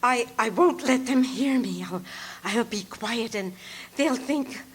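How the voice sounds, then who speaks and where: A woman's voice: on the high side, slow pace, breathy edge. An actress playing a frantic, demanding invalid woman alone at night in a live radio play, fretful and breathless. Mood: terrified. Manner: fretful, breathless, rising into panic.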